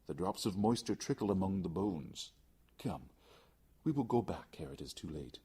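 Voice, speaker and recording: A man plays a scheming aristocrat in a gothic audiobook, all courtesy and false concern. His husky voice is insinuating and theatrical, with very lively swings of pitch. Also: moderate pace, full character voice. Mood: coaxing. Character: cunning, falsely solicitous aristocrat leading his victim on. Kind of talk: theatrical audiobook character acting.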